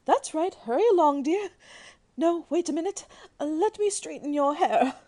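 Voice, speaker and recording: Very high-pitched fussy, fluttery mother played by an actress. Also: expressive, moderate pace, dark tone. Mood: excited, fussing. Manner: gushing, fluttery, dramatic. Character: fussy, excitable middle-aged mother. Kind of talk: radio drama acting.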